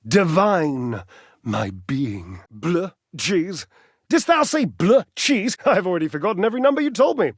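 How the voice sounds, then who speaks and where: An actor playing a vain, witty, lovesick clothes dryer in a video game, with a theatrical, florid and coquettish delivery. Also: male voice, high voice, very animated, a little slow, no echo, studio quality, exaggerated voice. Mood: playful, theatrical. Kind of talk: video game character acting.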